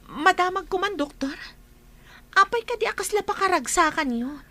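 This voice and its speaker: A woman's voice: very high voice, lively intonation, normal speed, bright, forceful tone, full character voice. A woman voicing a worried friend who questions a doctor about a missing nurse. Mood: anxious. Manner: anxious, questioning.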